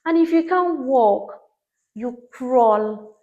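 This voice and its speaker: A woman's voice: sits high, expressive, takes it slowly, dark tone, highs cut off. An earnest woman hosting her podcast. She talks in an encouraging, reflective way and often repeats words for emphasis. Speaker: podcast host. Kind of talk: reflective motivational talk.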